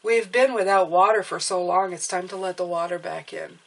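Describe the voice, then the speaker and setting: A woman's voice: on the low side, moderate pace, vocal fry. A woman giving a casual tarot reading who sounds stuffed up and nasal, speaking encouragingly and often checking in with "okay?". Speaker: tarot reader.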